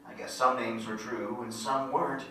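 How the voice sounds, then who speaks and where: A wistful rural storyteller, acted by a man in a staged play reading. He speaks lyrically and folksily in a bright, forceful voice of middling pitch. The hall echoes heavily around him. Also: steady tone, normal speed, close to an everyday voice. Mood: reflective. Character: wistful folksy country narrator recalling his boyhood. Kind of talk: poetic first-person monologue in staged reading. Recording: very echoey hall, distant mic, faint noise.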